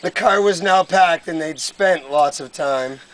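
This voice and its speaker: A man reading a comic rhyming poem aloud to friends in a measured storybook cadence, on an amateur live recording. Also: a little high, a bit bright. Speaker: poem reader.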